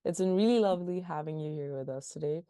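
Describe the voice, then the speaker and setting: A woman's voice: low-pitched, fast, smooth, dry recording, studio quality. A young adult woman hosting an interview, hesitant and filler-heavy as she asks questions and wraps up. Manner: hesitant, many fillers, soft laughs.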